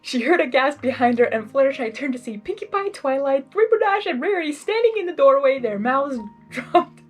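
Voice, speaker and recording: A woman's voice: high voice, animated, fairly quick, faint music behind. A woman giving gushing, exclamatory fan commentary as she hosts a story reading. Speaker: host of a blind-reading series. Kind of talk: excited fan commentary between story narration.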